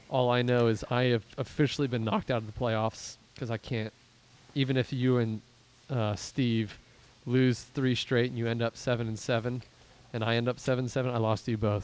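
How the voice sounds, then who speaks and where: A man from Pittsburgh hosts a casual podcast about his fantasy league, with a mid-range voice. He rambles, thinks out loud and pokes fun at his own losing season. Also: medium pitch, low-quality recording. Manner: rambling, self-deprecating, thinks aloud. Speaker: podcast host and league commissioner.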